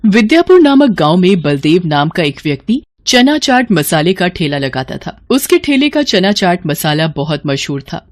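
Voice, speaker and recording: A woman's voice: lowish voice, very animated, moderate pace, hardly any pauses, no room sound, studio quality. A woman reading scripted moral-story narration as the storyteller.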